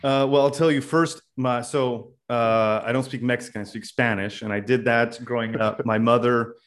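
A young man from California, a podcast guest telling his story in a loose, casual way with many pauses and "uh"s. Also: medium pitch, steady tone. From California, USA. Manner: loose, thinks aloud with many "uh"s.

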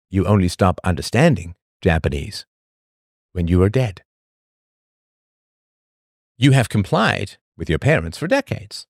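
A man hosting a podcast, reading a scripted monologue full of rhetorical questions and emphatic pauses. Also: low voice, no echo, clean studio sound. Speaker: podcast host.

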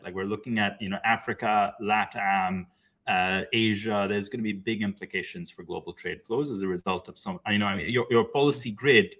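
Male panelist from Pakistan, low and raspy, discursive conversational delivery. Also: a bit bright. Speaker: panel speaker.

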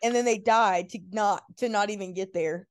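A woman's voice: mid-range voice, normal speed. A young woman co-hosting a podcast in casual, slangy chat with a playful, mock-incredulous manner. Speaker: podcast co-host.

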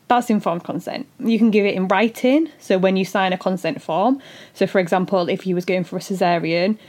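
A woman with a husky, fading voice, hosting an educational podcast and explaining things earnestly, step by step. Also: medium pitch. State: husky voice after illness. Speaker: podcast host and doula. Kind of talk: solo educational podcast talk.

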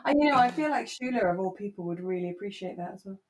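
A woman's voice: medium pitch, animated, fast talker. A British woman chatting informally as the co-host of a podcast. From England. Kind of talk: relaxed chat discussing a radio drama.

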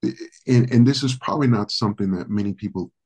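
A middle-aged man from the Dominican Republic, a pastor whose first language is Spanish, speaking earnestly as an interview guest with many pauses and fillers. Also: fairly low pitch, darkish tone, husky. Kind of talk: interview guest, reflective storytelling.

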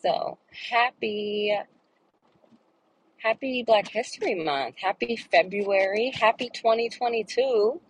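A woman's voice: on the high side, takes it slowly, breathy edge, poor audio. A woman co-hosting a casual podcast chat, tired from hospital work, laid-back and slangy. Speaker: podcast co-host, hospital worker.

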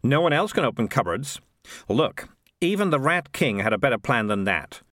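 A man's voice: mid-range voice, sing-song, normal speed, a bit bright, dry recording, studio quality. A man voicing Morris, a cynical streetwise male cat who talks in a wry, grumbling, sarcastic way.

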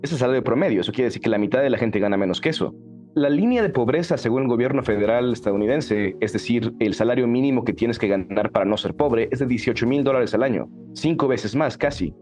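A man's voice: mid-range voice, quick pace, a little mellow, soft background music. A Mexican man in his thirties with a Mexican accent, speaking candidly and animatedly as an interview guest, often ending points with a questioning tag. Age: 30s. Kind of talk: reflective conversational interview.

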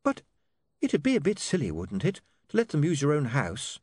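A man's voice: a little high, huge pitch swings, moderate pace, a little mellow, husky, no room sound, pitched above the performer's own voice, exaggerated voice. A man voicing a concerned, polite young woman in an audiobook, with a hesitant, questioning manner.

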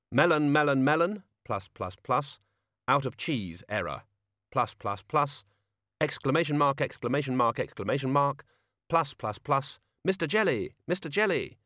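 A man's voice: medium pitch, sharp tone, no echo, studio quality, voice pushed up. A man voicing a glitching, babbling thinking machine. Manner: mechanical, stuttering, repetitive.

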